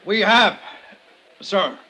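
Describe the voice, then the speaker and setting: Very slow, solemn jury foreman. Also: sits high, bright, forceful tone, full character voice. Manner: halting, deliberate. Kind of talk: vintage radio drama acting. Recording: dull old radio recording, faint noise.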